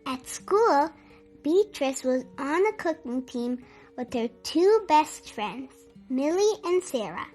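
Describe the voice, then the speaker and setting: A woman reading a children's book aloud in an animated, deliberate, clearly enunciated storytelling style for young listeners. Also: sits high. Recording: music behind the voice. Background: music.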